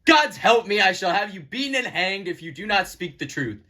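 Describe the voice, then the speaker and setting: A man playing an impatient, commanding king in an amateur puppet show, barking orders with a rough voice. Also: sits high, big pitch swings, normal speed, pushed and bright, exaggerated voice. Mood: threatening fury. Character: commanding, impatient male king, demanding the truth.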